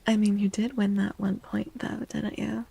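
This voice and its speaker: A soft-spoken woman chatting in a gentle, playful ASMR style as a streamer, often dropping to a whisper. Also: medium pitch, level tone, moderate pace, gravelly, husky. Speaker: live streamer reading tarot cards for chat. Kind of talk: soft-spoken ASMR chat, greeting viewers.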